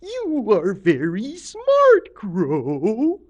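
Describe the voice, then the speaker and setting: A woman voicing a sly, flattering female octopus in a folk tale, with drawn-out, theatrical cooing. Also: mid-range voice, muffled recording, voice pushed up, heavily acted. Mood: flattering, luring.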